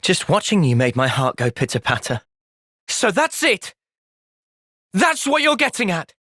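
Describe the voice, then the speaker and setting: An actor voicing an earnest, thoughtful young male soldier and leader in a video game, with an English accent and a measured delivery. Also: high-pitched, huge pitch swings, pushed and bright, no echo, studio quality, heavily acted. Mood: urgent. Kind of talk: video game cutscene acting.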